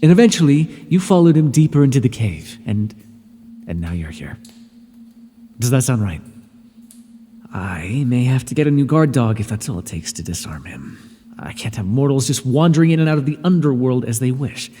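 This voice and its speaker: A man's voice: medium pitch, big pitch swings, moderate pace, warm tone, in a large hall, slight background noise, natural voice. A man voicing an irritable male god who rules the underworld, speaking in a haughty, scolding way with dry sarcasm, as an audio roleplay.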